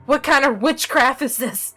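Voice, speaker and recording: A woman's voice: high-pitched, moderate pace, pushed and bright, faint music behind. A woman playing an alarmed, disgusted visual novel protagonist, exclaiming with indignation. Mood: alarmed, indignant.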